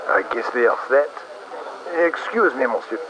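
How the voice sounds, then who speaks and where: An actor playing a courteous, slightly snooty waiter with a French accent in a 1930s-style radio play. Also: male voice, medium pitch, very animated, normal speed. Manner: polite, faintly condescending. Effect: old-time radio filter. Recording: muffled, noisy 1930s-style radio recording.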